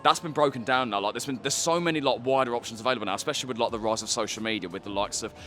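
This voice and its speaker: A man's voice: medium pitch, very fast, a bit bright, faint music behind. A man in his twenties giving earnest, casual opinions as an interviewee, often saying "like". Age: 20s. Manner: earnest, peppered with filler "like". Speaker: street interviewee. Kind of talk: casual opinion talk to camera.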